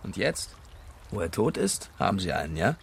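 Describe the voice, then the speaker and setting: An actor playing a scornful, distrustful man in a radio drama, with a gravelly voice. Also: deep voice, big pitch swings, normal speed, slight background noise, exaggerated voice. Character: scornful, distrustful male witness.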